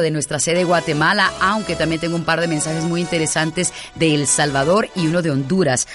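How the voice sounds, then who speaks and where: A woman with a fairly low voice hosts an interview podcast, greeting listeners and questioning her guest with effusive friendliness. Faint music plays under her voice. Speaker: podcast host.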